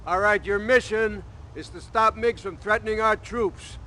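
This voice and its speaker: A commanding navy officer in a military video game, his voice pitched high for a man. He barks clipped, authoritative orders to fighter pilots, with faint vehicle rumble behind him. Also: normal speed, pushed and bright. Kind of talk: dramatic military briefing acting.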